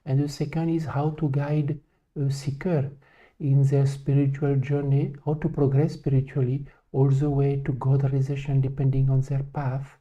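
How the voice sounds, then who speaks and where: A man from France with a French accent, speaking halting non-native English as a spiritual teacher introducing a live question session. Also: medium pitch, flat delivery, mellow. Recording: dull, lo-fi stream audio.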